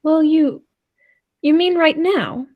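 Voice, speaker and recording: A woman's voice: high voice, takes it slowly, phone-quality sound. A woman playing a polite, timid and skeptical dinner guest in a 1940s-style radio play, hesitant and deferential, given to exclaiming. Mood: nervous, doubtful.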